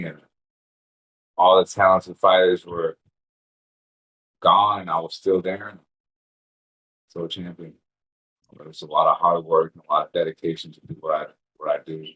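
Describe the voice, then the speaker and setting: A man from Puerto Rico in his thirties, a fighter chatting casually in an interview, relaxed and rambling with restarts and casual swearing. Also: sits low, low-quality recording. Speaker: interview guest, bare-knuckle fighter.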